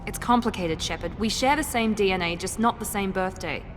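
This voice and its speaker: An actress playing a self-assured, guarded operative and protective sister in a video game, with a cool, commanding manner. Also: female voice, mid-range voice, a little fast, lightly acted. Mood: guarded, confiding. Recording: long reverb, music behind.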